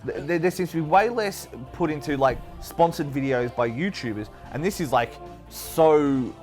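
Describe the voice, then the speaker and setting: Australian male co-host, slightly high voice, conversational news discussion over music. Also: animated, moderate pace, darkish tone. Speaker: co-host of a video game show.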